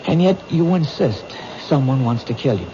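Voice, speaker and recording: A man's voice: medium pitch, lively intonation, moderate pace, close to an everyday voice. A man acting in a radio mystery as an earnest police detective, questioning in a persuasive, probing way. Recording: dull old radio recording, noisy background.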